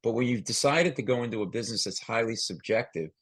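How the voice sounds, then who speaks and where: A man's voice: medium pitch, quick pace, husky, no room sound. An American man hosting a conversational podcast interview, talking emphatically and often restarting and repeating his phrases.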